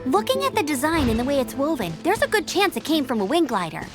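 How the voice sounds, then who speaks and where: High-pitched, keen young scout knight. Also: female voice, sing-song, music in the background. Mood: focused. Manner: earnest, brisk, determined. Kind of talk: anime game voice acting.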